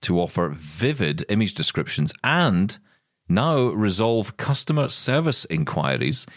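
A man's voice: on the low side, sing-song, unhurried, a bit bright, dry recording, studio quality. A Scottish man co-hosting a tech podcast, chatty and joking with plenty of fillers. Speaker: podcast co-host. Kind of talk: casual tech podcast chat.

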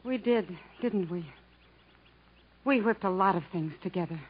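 A woman's voice: medium pitch, a little slow, a little hiss or hum, lightly acted. An actress playing a brave, tender woman in a 1950s radio drama, with restrained delivery in short phrases. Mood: wistful. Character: brave, tender woman stoically parting from her beloved. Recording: dull 1950s radio broadcast recording.

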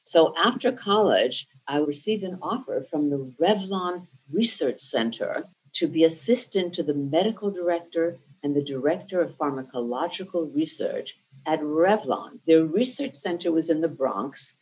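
A woman's voice: deep voice, lively intonation, moderate pace, darkish tone, rough recording. A woman in her sixties from New Jersey, whose first language was Lithuanian, tells her life story in an interview in an articulate and reflective way. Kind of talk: oral history interview.

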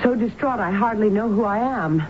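An actress playing a desperate, distraught married woman in a radio drama, pleading in halting, broken starts. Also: mid-range voice, close to an everyday voice. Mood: distraught, agitated. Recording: muffled phone-like old radio broadcast, loud noise.

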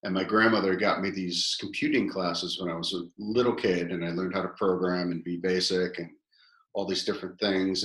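Man, fifties, very low voice, chatty storyteller full of fillers; echoey room. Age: 50s. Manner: animated, rambling storyteller with many fillers. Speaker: guest, toy company founder. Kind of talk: casual interview storytelling.

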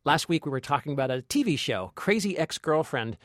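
A man's voice: on the high side, big pitch swings, a little fast, a bit bright, dry recording, clean studio sound. A man co-hosting a film podcast in casual, conversational style. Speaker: podcast co-host.

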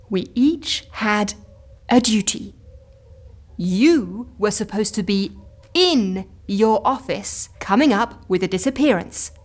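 An actress playing an exasperated, overworked agency woman in an audio comedy, flustered and emphatic in manner. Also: fairly high pitch. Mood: strained calm. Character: exasperated, overworked agency woman barely holding chaos together. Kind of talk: audio comedy fiction acting.

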